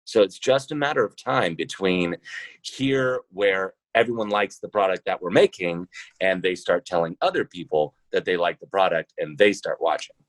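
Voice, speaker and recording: Male podcast guest, very deep voice, conversational and hesitant, closely dry recording. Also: normal speed, dry recording, low-quality recording. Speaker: podcast guest, role-playing show founder and trucker. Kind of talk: casual interview conversation.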